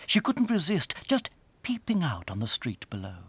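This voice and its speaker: A man with a British accent narrating a dramatized children's story in a suspenseful, theatrical way that can drop toward a hush. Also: on the high side, wildly swooping pitch, moderate pace, breathy edge, no room sound. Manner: dramatic, suspenseful, hushing to near whispers.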